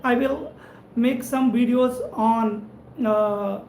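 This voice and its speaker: A man with an Indian English accent chats on a live stream in a steady, flat tone, warmly thanking viewers one by one. His voice carries a roomy echo, and faint background noise sits under it. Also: very high-pitched, slow. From India (Madhubani, Bihar). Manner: friendly, repetitive thanks, frequent "right?" tag. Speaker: networking instructor and channel host. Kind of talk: live-stream chat reading viewer comments.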